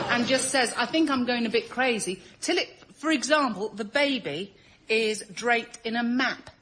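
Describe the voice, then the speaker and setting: A woman's voice: fairly high pitch, a little slow. A woman telling a comic idea as a panellist, rambling and laughing as she talks. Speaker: comedy panellist. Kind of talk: conversational comic storytelling. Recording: audience and faint noise behind. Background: studio audience.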